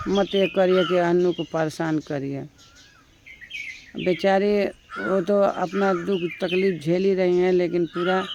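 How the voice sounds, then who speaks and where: A man's voice: high voice, background noise. A man with a Bhojpuri accent talking casually in a rambling, repetitive way. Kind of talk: casual conversational commentary. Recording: dull lo-fi sound, birds chirping.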